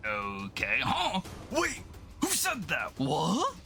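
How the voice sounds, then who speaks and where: A man acts out a jumpy, colloquial working-class guy in a comic-book drama. His excitable voice swoops wildly, with music behind him. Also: fairly high pitch, takes it slowly, gravelly, exaggerated voice. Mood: bored then baffled. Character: jumpy working-class everyman, cabbie or cop.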